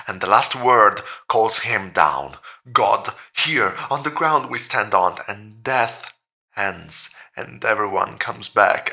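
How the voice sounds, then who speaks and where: An actor playing a grieving father in a horror audio drama, desperately clinging to faith, pleading in halting, insistent repetitions. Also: fairly low pitch, creaky, bright, forceful tone, exaggerated voice. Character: grieving father, desperate believer in a promised resurrection.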